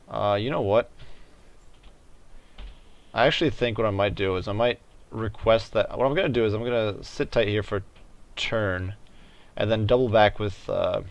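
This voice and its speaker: Man, deep gravelly fry, casual gaming commentary, halting think-aloud delivery. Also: dull audio. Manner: thinks out loud, hesitant and halting. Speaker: gaming video host. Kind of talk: casual gameplay commentary on a strategy game.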